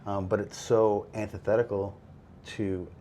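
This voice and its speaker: A man hosting a faith-based recovery conversation, talking in a relaxed, reflective way with many pauses and fillers. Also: on the low side, slight background noise. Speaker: podcast host.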